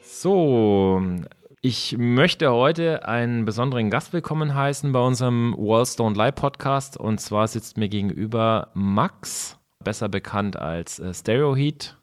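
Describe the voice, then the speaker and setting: A man hosting a podcast interview, asking friendly, halting questions with frequent restarts. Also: mid-range voice, clear, resonant tone. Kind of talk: casual interview chat.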